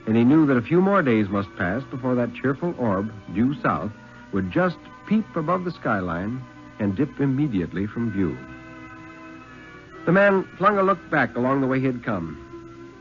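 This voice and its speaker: An American man giving a solemn, deliberate dramatic reading of a story in the style of 1950s radio. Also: medium pitch. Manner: solemn, deliberate, expressive phrasing. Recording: muffled 1950s radio recording.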